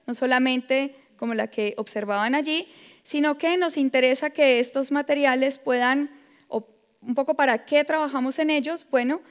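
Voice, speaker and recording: A young adult woman from Colombia with a Colombian Spanish accent, giving a measured conference talk as a predoctoral researcher, with frequent filler sounds. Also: high voice. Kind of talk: conference presentation. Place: large lecture hall. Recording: long hall reverb.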